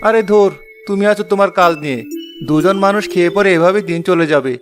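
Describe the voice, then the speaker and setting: A man's voice: high voice, fast talker, over music, close to an everyday voice. A man voicing a kind but weary village woodcutter in a children's cartoon. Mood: weary, grumbling.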